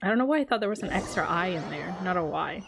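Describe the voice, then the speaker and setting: A woman's voice: on the low side, lively intonation, quick pace, creaky. A young woman streaming a game, giving casual, playful, slangy reactions. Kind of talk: casual live reaction commentary. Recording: game music behind the voice.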